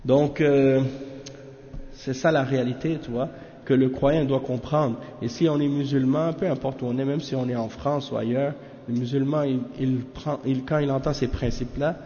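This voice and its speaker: A man's voice: medium pitch, fairly even intonation, normal speed, mellow. Casual male teacher, echoey lo-fi room. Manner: casual, familiar talk with frequent "hein?" asides. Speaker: religious teacher. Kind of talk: lesson explaining a commentary. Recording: echoey room, dull lo-fi sound.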